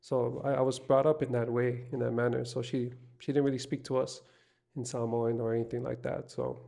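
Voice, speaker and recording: A man chatting casually on a panel, speaking in a halting, hesitant way. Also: mid-range voice, level tone, gravelly, dark tone. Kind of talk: casual chat.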